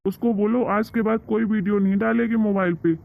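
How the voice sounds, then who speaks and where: Creaky, tinny-sounding man playing a cartoon father. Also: sits high, fairly even intonation, a little fast. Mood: disapproving. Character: anxious, strict, simple village father. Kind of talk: Hindi cartoon moral story dialogue. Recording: thin, phone-like narrow sound.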